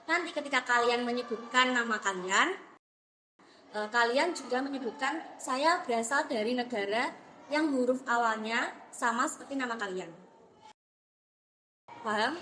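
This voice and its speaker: A woman's voice: fairly high pitch, pushed and bright, slight background noise, rough recording. A young woman, a counseling student, leading a group guidance session in a classroom, friendly and encouraging with many fillers. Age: young adult.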